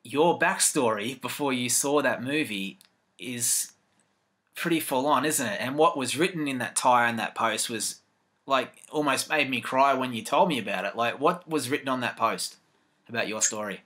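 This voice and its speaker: An Australian man hosts a television interview show with a bright, forward voice at an ordinary pitch. He chats easily and sometimes repeats himself while building up a question. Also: moderate pace. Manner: chatty, repeats words as he asks questions. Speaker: TV show host. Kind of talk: interview hosting.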